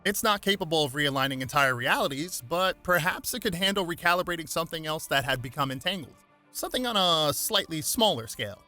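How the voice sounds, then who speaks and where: Man playing a precise, bright-voiced superhero scientist. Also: on the high side, expressive, fairly quick, soft background music, pitched above the performer's own voice. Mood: measured. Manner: precise, explanatory. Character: brainy, measured adult male superhero scientist.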